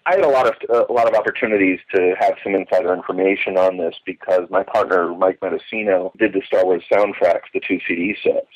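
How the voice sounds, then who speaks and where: Low-voiced man over dull lo-fi audio. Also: steady tone, fast, hardly any pauses. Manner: enthusiastic, detailed, digressive storytelling. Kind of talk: conversational interview, anecdotal reminiscing. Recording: dull lo-fi phone or call audio.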